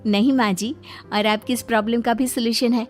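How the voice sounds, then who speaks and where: Cartoon daughter-in-law, played by a woman: young, caring, very high voice, patient explainer. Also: steady tone, brisk, soft background music, lightly acted. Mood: calm, explaining. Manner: polite, reasoning, explanatory. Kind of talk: Hindi animated moral story acting.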